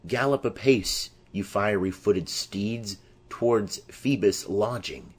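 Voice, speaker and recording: A man's voice: lowish voice, takes it slowly, breathy edge. A man voicing an impassioned, lovesick young noblewoman in declamatory Shakespearean verse for an audiobook. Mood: yearning. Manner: declamatory, poetic.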